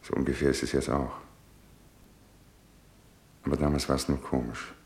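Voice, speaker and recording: An actor playing a distraught, brooding father in a German radio drama, halting and ruminating with bitter irony. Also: very deep voice, level tone, darkish tone. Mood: haunted, musing. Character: distraught, brooding father awaiting news of his son. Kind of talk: German radio drama acting with inner monologue.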